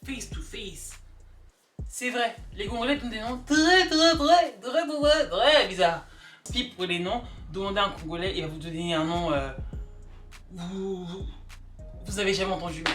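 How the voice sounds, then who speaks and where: A woman chatting casually and comically to camera, emphatic and animated, repeating words for effect. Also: mid-range voice, moderate pace. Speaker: vlogger. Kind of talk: casual comedic opinion chat to camera. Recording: echoey room, distant mic, background music.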